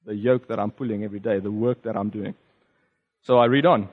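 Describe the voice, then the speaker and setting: A man with a South African accent, a native Afrikaans speaker, giving a reflective personal testimony as a preacher, conversational and halting with fillers. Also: lowish voice, fairly even intonation, in a large hall, narrow, tinny audio.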